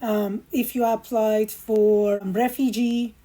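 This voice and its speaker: A woman's voice: a little high, flat delivery, slow, warm tone. A woman explaining step by step how to fill in an online immigration form, with frequent ums and patient instructions. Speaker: immigration tutorial host. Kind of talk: screen walkthrough tutorial.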